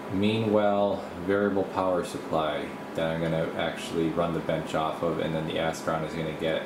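A man giving a casual, off-the-cuff workshop tour as a video host, rambling and thinking aloud, speaking from behind the camera. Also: deep voice. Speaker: video host, radio repair technician. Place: small indoor home workshop. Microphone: camera mic, speaker behind camera. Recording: roomy, steady air-conditioner-like hum.

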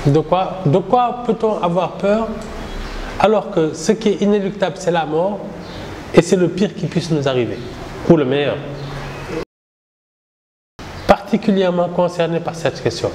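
A middle-aged man from Senegal, the founder of a satirical newspaper, answering interview questions in a measured, reflective way while gesturing a lot. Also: high voice, lively intonation, background noise. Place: small indoor room with poster backdrop. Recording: roomy with reverb and faint ambience.

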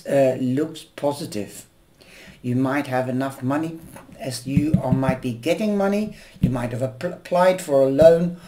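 A woman giving a weekly tarot horoscope reading in a gentle, chatty, rambling way, her voice a little nasal from a cold. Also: extremely low pitch. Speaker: tarot reader. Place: indoors at a table, camera over the cards.

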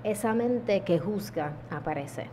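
Soft-voiced woman teaching mindfulness. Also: mid-range voice, a little hiss or hum. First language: English. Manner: calm, gentle teaching with deliberate pauses. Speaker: yoga teacher. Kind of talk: mindfulness lesson to camera. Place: indoor room at home. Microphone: small handheld lavalier mic held close.

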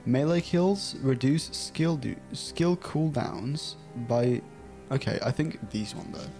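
A man's voice: mid-range voice, animated, slow, creaky, mellow, soft background music. A young Dutch man with a British-style English accent streaming a game from a small room at home, chatting casually, giggling often and reading chat and game text aloud. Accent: Dutch speaker with a British-style English accent. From Netherlands.

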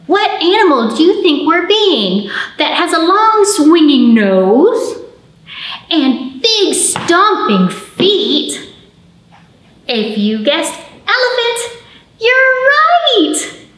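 High-pitched, animated woman hosting children's storytime. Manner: animated, encouraging, drawn-out emphasis for young children. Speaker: children's librarian, storytime host. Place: indoor library storytime room. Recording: roomy indoor echo.

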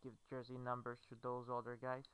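Clear, bright-voiced Brazilian man. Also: mid-range voice, monotone, fairly quick, low-quality recording. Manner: hesitant, fillers, non-native phrasing. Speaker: gamer. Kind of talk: game commentary.

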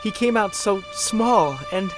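An actor playing a timid, nervous servant in a comic dub, hesitant, halting and deferential. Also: medium pitch, over music, lightly acted. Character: timid, nervous servant delivering bad news. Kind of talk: theatrical comic dub acting.

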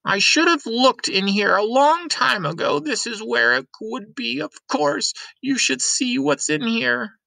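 Man putting on a high-pitched, rambling old granny. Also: unhurried, gravelly, few pauses, sharp tone, no room sound, exaggerated voice. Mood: preoccupied. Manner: wistful reminiscing. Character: rambling, forgetful old grandmother.

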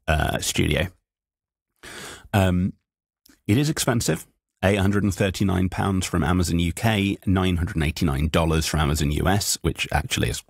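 Deep, gravelly man with a husky voice. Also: dry recording. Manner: measured, methodical, with frequent ums and self-corrections. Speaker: voice actor reviewing microphones. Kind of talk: explanatory tech review. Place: small home recording booth, indoors. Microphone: studio microphone at close range, wearing headphones. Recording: dry, close-miked studio sound.

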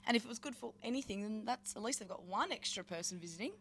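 A woman's voice: mid-range voice, lively intonation, fast, fairly bright tone. A young woman co-hosting a casual studio chat, bubbly and easygoing, speaking into a handheld mic. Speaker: co-host. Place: studio couch.

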